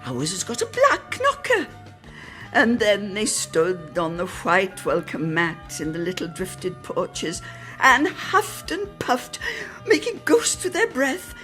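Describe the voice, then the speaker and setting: A woman's voice: medium pitch, big pitch swings, slow pace, fairly bright tone, soft background music. A woman giving a dramatic, theatrical reading of a poetic literary memoir, drawing out phrases for emphasis. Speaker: solo reader.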